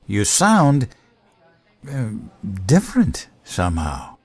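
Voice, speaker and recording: A man's voice: mid-range voice, wildly swooping pitch, slow pace, no echo, faint music behind, exaggerated voice. A man playing a dim-witted, cheerfully oblivious US president in a comedy audio drama, earnest and naive. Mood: puzzled.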